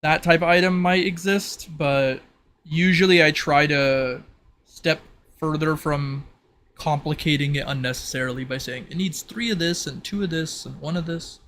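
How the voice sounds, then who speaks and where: A man's voice: on the high side, moderate pace, a bit bright, a little hiss or hum. A man casually explaining game rules in an informal, rambling way, as if thinking aloud. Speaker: tabletop roleplay participant explaining game rules.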